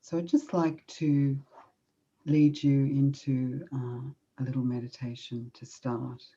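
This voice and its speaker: A woman with an Australian accent, speaking from a video call as a guest speaker and leading a gentle, soothing guided meditation. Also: rumbling low, flat delivery, takes it slowly, muffled recording.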